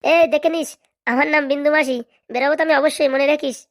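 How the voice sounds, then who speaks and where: A woman's voice: sits high, fairly even intonation, fast talker, dry recording, studio quality, natural voice. A woman voicing a brash, boastful older aunt in jail who scolds and threatens in a comedy cartoon. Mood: defiant, boastful. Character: brash boastful older jailbird aunt, serial escaper, short-tempered. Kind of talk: Bengali comedy cartoon dialogue.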